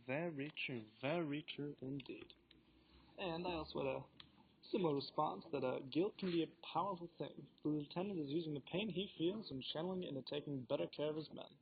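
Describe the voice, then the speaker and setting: A man's voice: on the high side, brisk. A teenage boy hosting a student book podcast, reading his questions in a formal, slightly theatrical way. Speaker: podcast host. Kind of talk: scripted school podcast discussion. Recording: dull lo-fi recording with faint noise.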